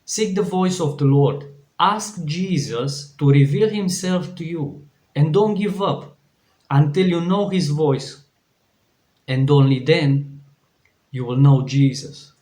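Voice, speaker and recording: A man's voice: a little high, takes it slowly, darkish tone. A man from Romania with a Romanian accent, preaching an earnest devotional sermon, with frequent restarts. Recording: echoey room.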